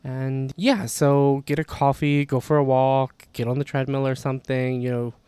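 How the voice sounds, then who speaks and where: A man's voice: mid-range voice, darkish tone, dry recording. A man hosting a language podcast, chatting casually and rambling a little with fillers. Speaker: podcast host.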